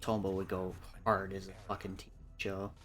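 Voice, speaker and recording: A man's voice: low voice, level tone, a little fast, fairly bright tone, soft background music. A man chatting informally and with some swearing on a live-streamed panel about entertainment. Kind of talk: casual group chat about games and films.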